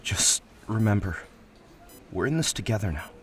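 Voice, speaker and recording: A man's voice: on the low side, expressive, moderate pace, natural voice. A man playing a furious, protective young man with an intense, defiant manner in an audio drama. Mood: earnest, protective. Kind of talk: dramatized audio fiction acting.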